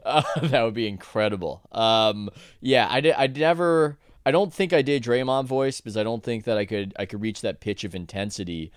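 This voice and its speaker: A man's voice: mid-range voice, normal speed, hardly any pauses, clear, resonant tone, no echo, clean studio sound. A man, an author guest on a podcast, talking casually in a rambling way with many restarts and self-corrections.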